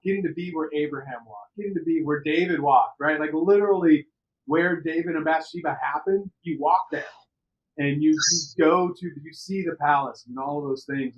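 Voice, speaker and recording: A middle-aged man from the United States telling personal stories on a podcast in an animated, conversational way, repeating words for emphasis. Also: on the high side, highs cut off. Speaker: podcast interview participant. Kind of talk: personal storytelling.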